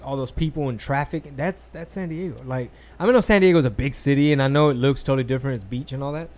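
A man's voice: mid-range voice, quick pace, a little mellow. Laid-back profane man on a podcast. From Texas. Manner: laid-back, profane, mixes in Spanish words. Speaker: podcast co-host. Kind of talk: casual podcast chat.

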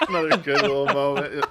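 A man co-hosting a casual podcast, recapping a film in excited chat and acting out quotes in funny voices. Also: fairly high pitch, heavy background noise. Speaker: podcast co-host.

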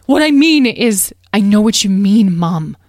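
A woman's voice: medium pitch, lively intonation, measured pace, darkish tone, dry recording, clean studio sound, pitched above the performer's own voice. A woman voicing an earnest young male dragon, a loyal son in a storybook, hesitant and pleading.

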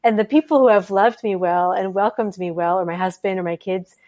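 A woman guest on a podcast interview, speaking in an earnest, thoughtful way with the occasional gentle laugh. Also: mid-range voice, steady tone, a little fast. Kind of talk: conversational interview.

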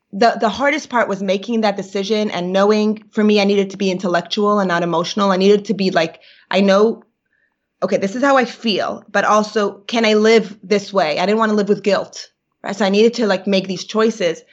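Woman in her thirties, Yiddish native speaker, reflective, halting, mid-pitched voice, muffled lo-fi recording. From New York. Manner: reflective, searching for words, many fillers. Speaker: guest. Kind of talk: personal interview. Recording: dull lo-fi recording.

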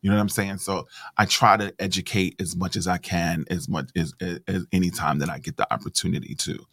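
A man hosting a casual interview, chatty and encouraging, often affirming his guest with quick backchannels. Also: sits low, steady tone, fairly bright tone, husky, no echo. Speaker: host.

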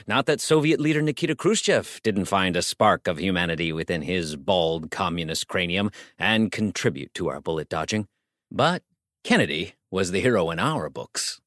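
An American man reads a comic story as a narrator, with a low voice that swings widely in pitch. His delivery is theatrical and emphatic, mock-grave with a tongue-in-cheek edge. The recording is dry and close. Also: no room sound. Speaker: audiobook narrator.

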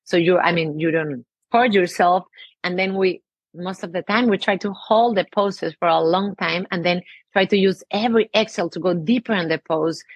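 A woman from Mexico with a Mexican Spanish accent, a yoga teacher speaking as a guest in a casual interview. She talks gently and searches for words, often restarting with "I mean". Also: lowish voice, moderate pace, breathy edge, dry recording.

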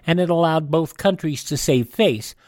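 A man's voice: fairly high pitch, moderate pace, darkish tone, no echo, studio quality. A man hosting a radio show, reading a scripted rundown of historical events.

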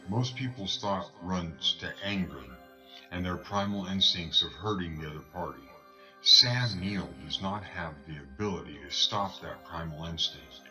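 A man's voice: sits low, bright, forceful tone, poor audio. A man narrating a horror film trailer in an ominous announcer voice over a music bed. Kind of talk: dramatic movie trailer voice-over.

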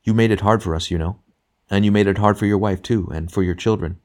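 A male narrator plays a serene younger spirit, a redeemed sinner in a storybook. He speaks with calm, kindly frankness and a quiet joy, patiently urging and reassuring. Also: lowish voice, fast talker, lightly acted. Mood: frank, kindly. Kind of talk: literary audiobook character dialogue.

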